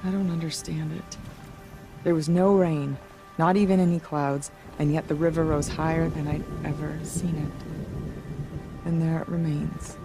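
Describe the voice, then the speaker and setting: A low, gravelly voice for a weary tribeswoman in a video game. She sounds somber, reflective and resigned. Music plays clearly behind her words. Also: steady tone, normal speed. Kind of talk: video game character acting.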